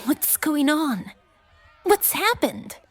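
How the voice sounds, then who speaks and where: High, earnest young noblewoman. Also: sing-song, drawn-out words, heavily acted. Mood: alarmed. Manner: urgent, questioning, earnest. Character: concerned, determined young noblewoman mage. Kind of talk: audio drama acting.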